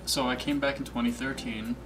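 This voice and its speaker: A man's voice: medium pitch, level tone, fairly quick, background noise. A young man from Washington State with an American accent, answering interview questions casually. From Washington State, USA. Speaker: full-time employee being interviewed. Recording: slightly distant mic under music.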